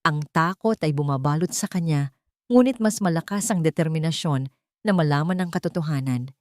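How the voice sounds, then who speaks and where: A woman's voice: sits low, moderate pace, a little mellow, no echo, clean studio sound. A woman with a Filipino accent reading a scripted dramatic story as its narrator.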